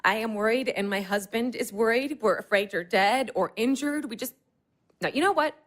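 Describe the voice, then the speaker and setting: An actress playing an anxious, sharp-tongued young wife who swears bluntly in an audio drama. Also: female voice, fairly high pitch, normal speed, natural voice. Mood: angry, bitter. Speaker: newly married wife.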